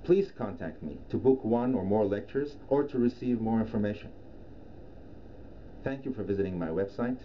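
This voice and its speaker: A middle-aged man from Iran, a native Persian speaker, giving a formal, measured scripted presentation as a professional lecturer. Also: lowish voice, lively intonation. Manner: formal, measured, deliberate phrasing. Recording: dull lo-fi sound, clear background noise.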